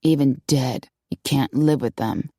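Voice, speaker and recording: Audiobook casting: woman performer as tough vampire woman, low and growly, dry wit, blunt, mellow tone. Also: a little slow, husky, dry recording, heavily acted. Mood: scornful, blunt. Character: tough, sardonic female vampire, hard-drinking and scornful of men. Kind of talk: audiobook character acting.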